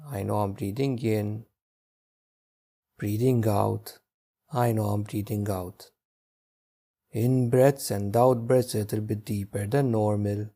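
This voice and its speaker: A man leading a guided meditation as a teacher, giving gentle, unhurried instructions with long pauses. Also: fairly low pitch.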